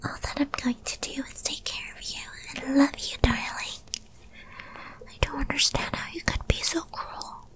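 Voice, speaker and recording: A woman's voice: high-pitched, fairly even intonation, normal speed, fairly bright tone, low-quality recording. A woman playing a whispering, possessive witch girlfriend. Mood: hurt, coaxing. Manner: breathy, cooing, coaxing yet controlling. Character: possessive, lovestruck young female yandere witch girlfriend. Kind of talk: whispered girlfriend ASMR roleplay.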